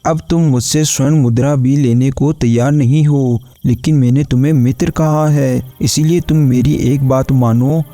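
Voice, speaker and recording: A man's voice: medium pitch, steady tone, moderate pace, hardly any pauses, dark tone, no echo, faint music behind, lightly acted. A man voicing merchants and a king in a cartoon folk story. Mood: gracious. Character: men of a folk tale: merchants and a disguised king. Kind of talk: Hindi cartoon story acting.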